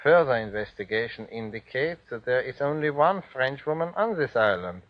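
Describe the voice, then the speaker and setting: Male actor as excitable Nazi officer, theatrical and incredulous, mid-range voice, dull dry vintage radio. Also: mid-range voice, moderate pace, no room sound, close to an everyday voice. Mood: hesitant, bewildered. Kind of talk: 1940s radio drama acting.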